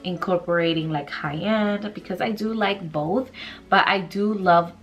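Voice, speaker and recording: A woman's voice: lowish voice, measured pace, dull audio, soft background music. A young woman in her twenties with a stuffy nose, chatting casually through a makeup tutorial in a small room, rambling with lots of fillers. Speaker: beauty vlogger. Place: small bedroom vanity indoors.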